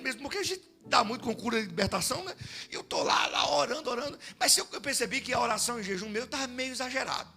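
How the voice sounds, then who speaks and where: High bright-voiced Brazilian pastor preaching. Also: male voice, vocal fry. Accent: Brazilian Portuguese. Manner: animated, swings from shouting to hushed storytelling. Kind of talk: sermon with storytelling. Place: church service.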